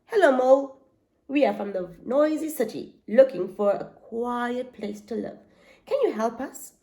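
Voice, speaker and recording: A woman's voice: on the high side, animated, measured pace, warm tone. A woman voicing three fussy noisy foxes.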